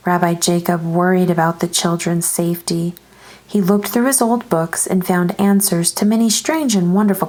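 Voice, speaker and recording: A woman's voice: on the low side, a little mellow, poor audio. A woman reading a children's story aloud as narrator, expressively, drawing out some phrases.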